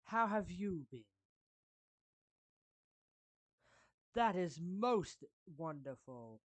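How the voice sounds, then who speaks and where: A performer voicing a suave, courtly villain turned gently protective friend in an anime fan roleplay, speaking formally and deliberately with pauses mid-phrase. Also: mid-range voice, no echo, rough recording. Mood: courteous, intrigued. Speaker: character voice actor. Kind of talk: anime fan roleplay monologue addressed to the listener.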